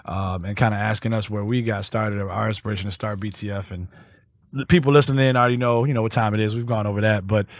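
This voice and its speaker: A man's voice: on the low side, steady tone, fast talker, no echo, studio quality. A man from Detroit with an American accent, chatting casually as a podcast host in slangy, profane talk. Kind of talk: casual chat. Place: podcast studio.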